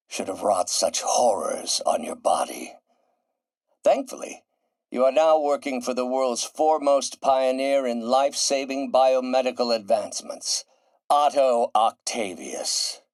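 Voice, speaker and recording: An actor voicing a grandiose, middle-aged scientist turning villain in a video game, theatrical and erudite, with precise enunciation. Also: male voice, medium pitch, gravelly, darkish tone, dry recording, low-quality recording. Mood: smooth persuasion. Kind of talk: video game voice acting, dramatic monologue.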